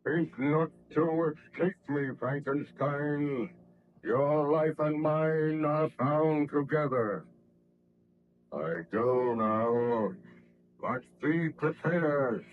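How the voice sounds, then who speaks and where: A man's voice: fairly high pitch, very slow, narrow, tinny audio. A man voicing a bitter, menacing man-made monster in an old-time radio horror play, with ponderous, declamatory delivery. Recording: noisy vintage radio recording.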